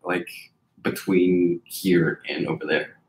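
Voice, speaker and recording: A man's voice: deep voice, level tone, slow. A man hosting an interview in a casual, slangy, conversational style. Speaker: interviewer, host. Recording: roomy, echoing sound.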